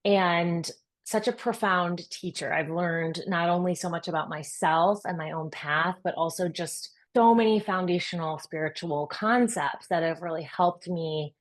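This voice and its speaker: A woman's voice: on the low side, unhurried. A woman hosting a podcast, opening the interview with long, effusive, admiring praise for her guest. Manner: effusive and admiring, building long gushing sentences. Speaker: podcast host. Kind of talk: scripted-sounding interview introduction.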